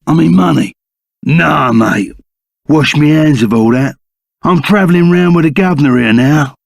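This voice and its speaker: An actor playing a gruff, loyal, blustering Cockney ex-bandit in a video game, full of rough, matey slang. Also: male voice, on the high side, normal speed, no echo. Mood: rough, insistent. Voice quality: gruff, gravelly. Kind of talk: video game character acting.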